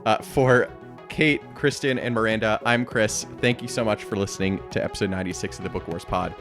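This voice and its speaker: A man's voice: fairly low pitch, a little fast, over music. A man hosting a casual book discussion podcast. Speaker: podcast host.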